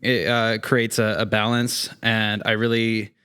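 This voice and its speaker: A man speaking as a guest actor in a casual interview, halting and joking as he goes. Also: fairly low pitch, level tone, bright, forceful tone, clear, resonant tone.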